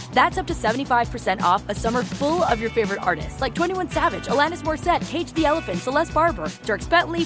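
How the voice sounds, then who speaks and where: A woman reading a scripted promotional ad as an announcer. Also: high voice. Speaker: advertisement announcer. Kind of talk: scripted concert promotion read. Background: music under the voice.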